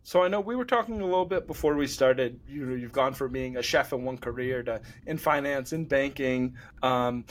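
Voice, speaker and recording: Friendly male podcast host. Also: medium pitch. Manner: friendly, loose phrasing with fillers. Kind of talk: interview hosting.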